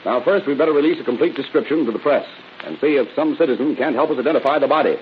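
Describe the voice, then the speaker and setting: An actor playing a methodical, matter-of-fact homicide detective in an old radio drama. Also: male voice, medium pitch, very fast, close to an everyday voice. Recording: dull, hissy old radio recording.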